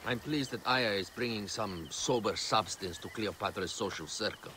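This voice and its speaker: Low-voiced courtly Egyptian royal adviser. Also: male voice, pushed and bright, a little hiss or hum. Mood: counselling, discreet. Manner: formal, measured, deliberate. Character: composed, courtly male royal adviser plotting in ancient Egypt. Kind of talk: dramatic video game acting.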